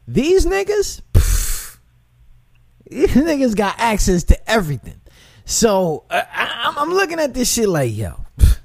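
Give me a high-voiced man hosting a casual radio show, loose, swearing and animated. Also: no echo, clean studio sound. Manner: animated, swearing, repeats himself, shout-outs. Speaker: internet radio host. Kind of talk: casual chat.

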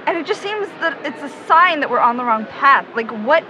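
Young female searcher, earnest and unsure, high, very lively pitch, audio drama. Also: a little fast, slight background noise, rough recording. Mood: doubtful, discouraged. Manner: hesitant, self-doubting. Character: earnest, insecure young woman searching for missing girl. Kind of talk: naturalistic audio drama acting.

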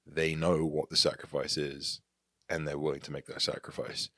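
Deep-voiced English man in his thirties. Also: little pitch movement, moderate pace, pushed and bright, no echo, clean studio sound. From England. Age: 30s. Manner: reflective, earnest, candid. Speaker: podcast guest. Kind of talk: casual interview talk.

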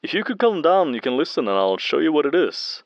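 A man's voice: a little high, sing-song, a little fast, no echo. A man acting as a friendly, eager audio technician and fan in an audio drama, chatty and a little hesitant, heard over a phone. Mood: excited, rambling. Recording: muffled band-limited phone call, dry.

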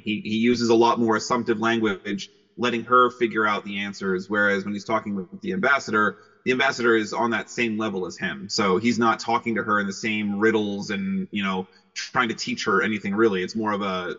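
Male interviewee, voice actor: lowish but bright, upbeat and excitable, conversational, on a dull recording. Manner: cheerful, excitable. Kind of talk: casual interview answers. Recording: muffled, long hall-like reverb.

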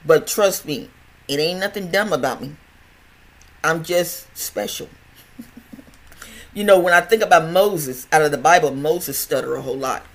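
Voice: mid-range voice, moderate pace, long pauses, a bit bright. Older podcast host with a stutter. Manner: stutters, restarts and repeats words; chatty, folksy and rambling. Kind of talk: casual health podcast monologue.

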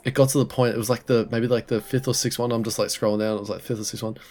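A man's voice: mid-range voice, fairly even intonation, racing, music in the background. Congested man, rambling chat. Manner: rambling, laughing, swearing, many restarts. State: congested with a head cold, sore throat. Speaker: podcast co-host. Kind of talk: casual chat.

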